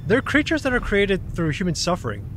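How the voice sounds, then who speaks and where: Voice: medium pitch, huge pitch swings, brisk, background noise. An actor playing a nervous, grumbling tanuki spirit in an audio drama, flustered and exasperated, explaining things as someone who knows. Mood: explanatory, uneasy. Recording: music behind the voice.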